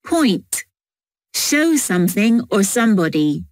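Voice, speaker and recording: A woman narrating textbook audio in a slow, clear reading. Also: medium pitch, big pitch swings, no room sound, clean studio sound.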